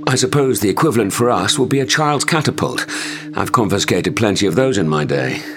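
An actor playing a patient, wry schoolmaster in an audio drama, well-spoken with an English accent and a dry manner. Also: male voice, on the low side, animated, a little fast, music in the background. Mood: wry, puzzled.

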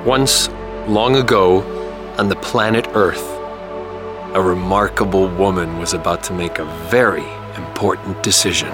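An actor playing a portentous storyteller in an audio drama, narrating an epic space adventure in a grave, dramatic way. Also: male voice, sits low, fairly even intonation, measured pace, over loud music.

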